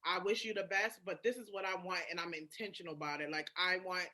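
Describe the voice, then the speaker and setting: Woman chatting candidly, really bright punchy voice, emphatic, swears a lot, super conversational. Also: lowish voice, fairly even intonation, fast talker. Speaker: podcast guest.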